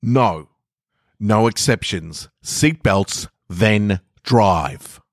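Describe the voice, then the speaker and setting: A man voicing an unflappable, stubborn getaway driver in a story, firm and deadpan. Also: fairly low pitch, very slow, dry recording, studio quality, heavily acted. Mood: unbudging.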